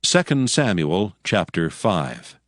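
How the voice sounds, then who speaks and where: A man narrating a dramatized scripture audiobook in a solemn, measured storytelling manner. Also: low-pitched, big pitch swings, faint music behind.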